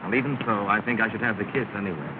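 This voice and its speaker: A man plays an earnest young suitor in an early talkie, talking low and quick. The thin, phone-like sound carries hiss and a low rumble. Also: lightly acted. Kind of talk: 1930s film acting. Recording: tinny, hissy 1930 film soundtrack.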